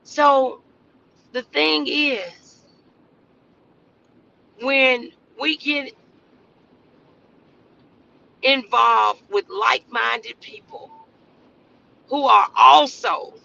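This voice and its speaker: Slow-paced man, gravelly edge, preacher-like motivational host, exhorting, repetitive emphasis, rhetorical questions, slightly muffled lo-fi sound. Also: very high voice, steady tone, sharp tone.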